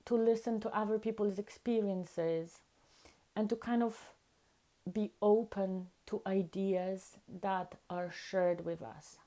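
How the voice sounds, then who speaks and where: Slow, mellow-voiced woman with a Polish accent. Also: medium pitch. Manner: slow, earnest, emphatic with repeated words. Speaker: vlogger. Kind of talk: reflective talk to camera.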